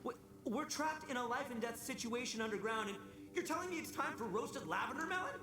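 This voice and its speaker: Brash, boastful young brawler; lively swings, echoey distant game audio. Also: male voice, extremely high pitch, fairly quick, full character voice. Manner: loud, cocky, theatrical.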